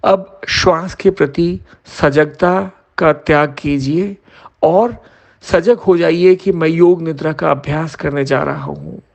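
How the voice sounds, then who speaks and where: Guy doing guided yoga nidra, really slow, gravelly creak in his voice, short measured phrases. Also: a little high. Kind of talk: guided meditation instruction.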